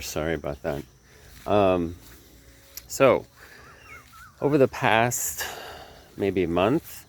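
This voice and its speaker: A man's voice: sits low, darkish tone. A man who teaches yoga, talking casually to camera, a little nasal from a sinus infection, earnest and rambling, often correcting himself.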